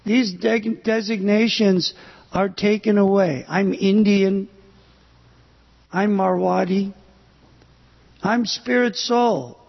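An older man from the United States giving a sermon on scripture, speaking haltingly with pauses and fillers. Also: high voice, fairly even intonation, creaky, in a large hall, highs cut off. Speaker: preacher.

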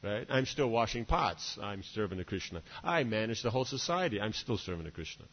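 A man's voice: fairly low pitch, animated, a little fast, slightly rough. Man, devotional lecture, rambling asides, long hall reverb, muffled lo-fi sound. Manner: discursive, many "you know" and "right?" asides. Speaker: spiritual teacher. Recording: dull reverberant hall recording.

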